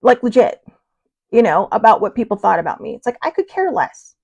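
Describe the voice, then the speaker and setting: A woman's voice: mid-range voice, very animated, fairly quick, gravelly, darkish tone, slightly rough, muffled recording. A woman in her fifties hosting a casual livestream, chatty and rambling, with lots of fillers and restarts as she answers viewers. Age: 50s. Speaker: host.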